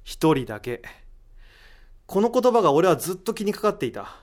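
A man playing a thoughtful, analytical character in a voice drama, deliberate and explanatory, posing rhetorical questions. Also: sits high, sing-song. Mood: explaining, intent. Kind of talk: amateur voice drama acting.

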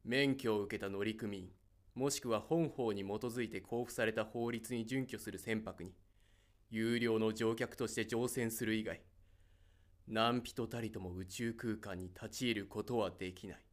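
A man's voice: on the low side, steady tone, normal speed, natural voice. A man playing a stern, rule-bound spaceship captain in an audio drama, curt and commanding. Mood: stern, official.

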